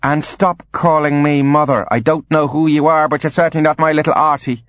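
A man's voice: high-pitched, very animated, moderate pace, no echo, voice pushed up. A man voicing a frail, bedridden mother in an audiobook, imperious and fretful, with a plaintive, crooning, dramatic delivery. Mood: cold, suspicious.

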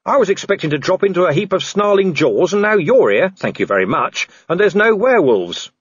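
A man's voice: high-pitched, very animated, brisk, slightly rough, dry recording, dull audio, pitched above the performer's own voice, exaggerated voice. A man voicing a sardonic, exhausted older police commander with an irritable, growling manner, in an audiobook. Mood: exasperated. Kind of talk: audiobook character acting.

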